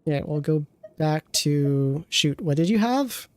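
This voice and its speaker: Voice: medium pitch, lively intonation, slow pace. A game streamer reviewing an account with casual commentary, thinking aloud and often trailing off mid-thought.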